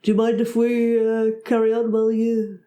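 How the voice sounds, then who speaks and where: A woman's voice: fairly high pitch, completely flat delivery, normal speed, dark tone. A woman roleplaying in character in a tabletop game, speaking in short pronouncements. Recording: roomy echo.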